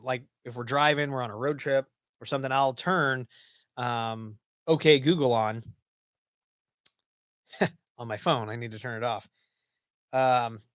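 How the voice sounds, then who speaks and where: A man's voice: mid-range voice, a bit bright, no echo, clean studio sound. A man in his forties hosting a casual live stream from a small home office, chatting with many ums and restarts. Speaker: livestream host. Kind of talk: casual live-stream chat reading viewer comments.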